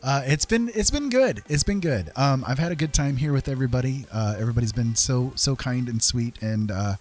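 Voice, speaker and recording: A man casually chatting as a livestream host, earnest and encouraging, talking in a halting way with pauses. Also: medium pitch, expressive, darkish tone. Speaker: livestream host and digital artist.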